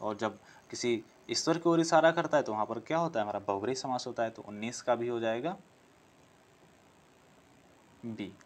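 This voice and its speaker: Male teacher, medium pitch, exam-prep lesson, explanatory, rhetorical questions, repeats key phrases. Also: fast. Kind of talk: exam-prep Hindi grammar lesson, working through questions.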